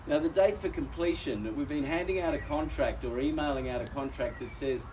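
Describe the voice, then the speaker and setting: A man's voice: medium pitch, fairly quick, darkish tone, distant mic, a little hiss or hum. An Australian man working as an auctioneer, speaking genially to a crowd outdoors at a house auction, his voice weakened by a recent chest infection. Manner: genial, folksy, addresses crowd as folks. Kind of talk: live outdoor auction address.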